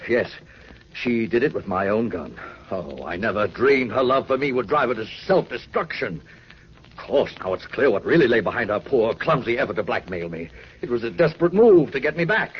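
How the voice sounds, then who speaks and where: An actor playing a nervous, self-pitying husband with stammering, theatrical 1940s radio delivery. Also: male voice, mid-range voice, very animated, moderate pace, husky. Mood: anguished, dramatic. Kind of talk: 1940s radio drama acting. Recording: dull, noisy 1940s radio recording.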